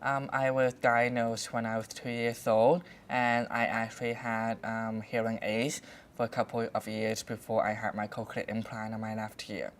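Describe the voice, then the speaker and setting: A man's voice: on the low side, level tone, moderate pace, a little hiss or hum. A man in his twenties introducing himself in a matter-of-fact way, with a few fillers. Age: 20s. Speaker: interviewee.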